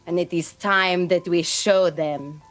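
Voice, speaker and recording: A woman voicing a defiant young rebel woman giving a slow, deliberate speech to a crowd. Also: fairly low pitch, fairly even intonation, soft background music. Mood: rousing.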